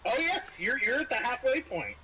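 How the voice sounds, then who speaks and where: A man's voice: extremely high pitch, very animated, a loud crowd, low-quality recording. A man working as game master of a tabletop horror game, narrating and explaining rules casually, often repeating his words as he goes. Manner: halting, repeats words and phrases.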